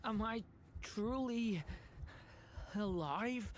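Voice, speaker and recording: A woman voicing a gentle, earnest young dragon boy in a video game, hesitant and vulnerable. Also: a little high, very slow, a little hiss or hum. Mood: lost.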